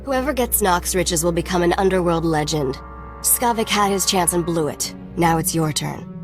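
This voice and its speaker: A woman's voice: lowish voice, normal speed, music in the background, close to an everyday voice. A woman playing a shrewd, self-assured young smuggler in a video game, cool and wry. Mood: persuasive.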